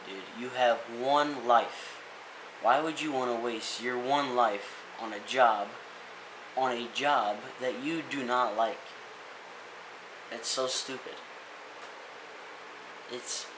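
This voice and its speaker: A young man giving a casual, rambling motivational rant as a vlogger, earnest and full of restarts. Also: medium pitch. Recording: echoey room with hiss.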